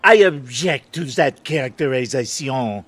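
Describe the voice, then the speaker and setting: An actor playing a pretentious, self-important artiste in an audio comedy. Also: male voice, mid-range voice, expressive, a little slow, a bit bright. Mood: indignant. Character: Franck, pretentious self-styled artiste with a vision. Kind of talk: audio comedy drama acting.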